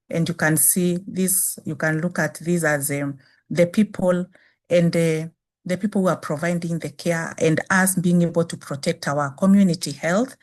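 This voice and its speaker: A woman with a Kenyan accent, a native Swahili speaker, giving a measured webinar presentation on nursing with frequent restarts. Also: on the low side. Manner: measured, repeats phrases with restarts. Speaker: guest speaker, nursing dean.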